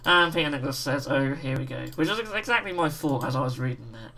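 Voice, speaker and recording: Croaky British man chatting casually. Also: mid-range voice, fast. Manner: relaxed, reads out chat messages. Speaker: livestream host. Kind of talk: casual chat while painting miniatures.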